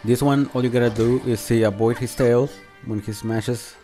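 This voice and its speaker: A man giving casual, unscripted gameplay commentary as a channel host, talking in a halting, conversational way. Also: mid-range voice, fairly even intonation, dark tone, faint music behind. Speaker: video game review channel host.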